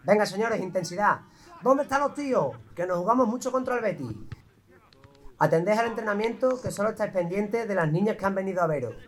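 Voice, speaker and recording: A man's voice: high voice, animated, a little fast. An amateur student actor with an Andalusian accent playing a demanding, macho male football coach in a radio play. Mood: commanding. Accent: Andalusian Spanish. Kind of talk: amateur radio drama acting.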